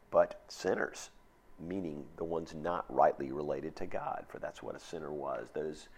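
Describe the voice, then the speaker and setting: Hoarse man, pastor, folksy sermon, very low voice, husky tone. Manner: folksy, conversational preaching with reflective asides.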